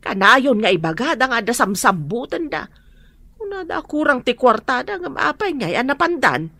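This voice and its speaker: A woman's voice: fairly high pitch, big pitch swings, normal speed, sharp tone, no echo, exaggerated voice. A middle-aged woman with an Ilocano accent plays an anxious mother who pleads for her children in a radio drama. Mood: worried.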